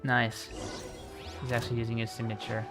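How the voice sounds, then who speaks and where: A man's voice: medium pitch, completely flat delivery, moderate pace. Casual man streaming a video game. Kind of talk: casual live gameplay commentary. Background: video game music.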